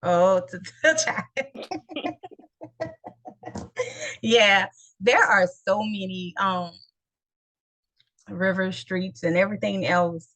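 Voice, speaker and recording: A woman with a New Orleans accent hosting a casual interview, animated and playful, laughing often and using slang. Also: mid-range voice, very slow, long pauses, no echo, poor audio. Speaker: host.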